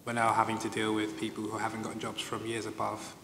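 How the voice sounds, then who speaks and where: A 21-year-old man, a law student on a panel, talking in a relaxed, casual way. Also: on the low side, fast. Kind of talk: live panel discussion. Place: conference room. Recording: echoey hall with clear noise.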